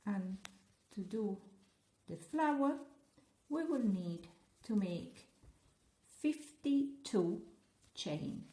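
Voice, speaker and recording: A woman's voice: medium pitch, animated, drawn-out words, pauses often, roomy sound. A woman with an Italian accent from Italy, leading a step-by-step crochet tutorial in a playful, chatty way with small jokes. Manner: playful, chatty, gently joking instructions. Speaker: crochet teacher.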